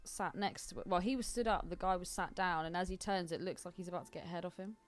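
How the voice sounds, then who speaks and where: A woman's voice: fairly low pitch, fairly even intonation, fast talker. Young woman chatting casually, faint music behind. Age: 20s. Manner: informal, questioning. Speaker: podcast co-host. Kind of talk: casual chat.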